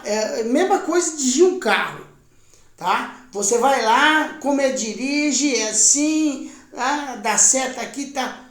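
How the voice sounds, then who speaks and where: A woman's voice: high-pitched, echoey room. A woman casually commenting on a lecture clip, explaining points in plain words with asides. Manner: explains plainly, adds asides with tag questions. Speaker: video commentator.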